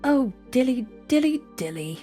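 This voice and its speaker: A woman's voice: high-pitched, sing-song, moderate pace, warm tone, faint music behind, voice pushed up. A woman voicing a hungry, vexed male cat who sighs and mews plaintively outside a shop. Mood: wistful sighing. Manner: sighing, mewing plaintively.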